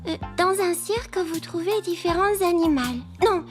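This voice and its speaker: Une comédienne de doublage qui joue une jeune écolière de dessin animé pour enfants. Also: female voice, very high voice, lively intonation, moderate pace, music in the background. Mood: shy, hesitant. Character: lively young schoolgirls in a children's cartoon. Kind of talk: French children's cartoon dubbing.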